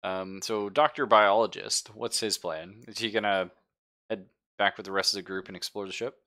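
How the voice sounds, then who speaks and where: A man acting as game master in a tabletop roleplaying game, describing things conversationally and haltingly as he thinks aloud. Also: lowish voice, pushed and bright. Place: live-streamed game session.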